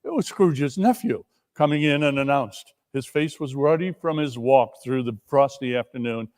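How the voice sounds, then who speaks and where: A man narrating a classic story theatrically, leaning deliberately on key words. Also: medium pitch, darkish tone. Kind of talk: dramatic reading of a classic story.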